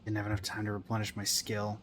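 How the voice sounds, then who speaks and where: A man's voice: on the low side, completely flat delivery, quick pace, background noise. A man streaming a video game, giving casual, offhand commentary and asides as he reacts to the story.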